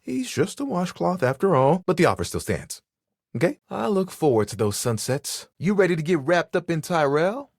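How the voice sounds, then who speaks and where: Flirty, easygoing living towel, animated delivery. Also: male voice, on the high side, moderate pace, vocal fry, no room sound, studio quality, full character voice. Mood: playful, flirty. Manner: flirty, laid-back, playful, heartfelt. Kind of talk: video game character acting.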